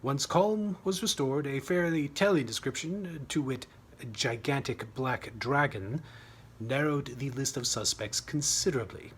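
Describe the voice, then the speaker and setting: A man's voice: medium pitch, measured pace, a bit bright, breathy edge. A man reading his own humorous short story as scripted narration, with a wry, dramatic storytelling manner.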